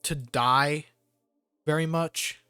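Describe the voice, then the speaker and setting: A man's voice: fairly high pitch, steady tone, drawn-out words, pushed and bright, clear, resonant tone, no room sound. Man rambling casually over gameplay, faint music. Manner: rambling, jokey, slangy. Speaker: gamer hosting a let's play.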